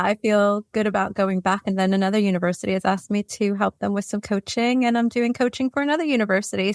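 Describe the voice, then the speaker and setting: A woman's voice: medium pitch, dry recording, studio quality. A woman from Puerto Rico, a career coach, telling her story as an interview guest in a reflective, easygoing way. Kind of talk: conversational interview answers.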